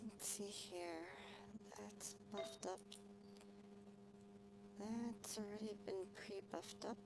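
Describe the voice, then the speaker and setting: A woman livestreaming a game, chatting casually and thinking aloud in a halting, musing way. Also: medium pitch, steady tone, very noisy. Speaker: livestreamer playing a video game. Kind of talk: casual gameplay stream chat. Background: music.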